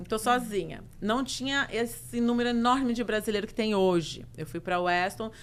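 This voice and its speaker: Expressive Brazilian woman telling her story. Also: on the high side, moderate pace. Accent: Brazilian Portuguese. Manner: expressive, emphatic, lists things in rhythmic runs. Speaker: podcast guest, mentor. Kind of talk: casual chat, personal storytelling.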